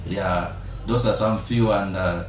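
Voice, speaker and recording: A young man from South Sudan answers questions hesitantly, pausing often with fillers. His voice sits a little low. It comes from a distance in an echoey room, with clear noise behind him. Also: steady tone. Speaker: interview guest, student council president. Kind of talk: casual interview answers.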